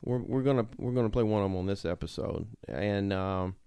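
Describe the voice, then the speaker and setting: A man's voice: lowish voice, a little fast, warm tone, clear, resonant tone, no echo. A man co-hosting a podcast in casual chat, often repeating words as he finds his way through a sentence. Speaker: podcast co-host.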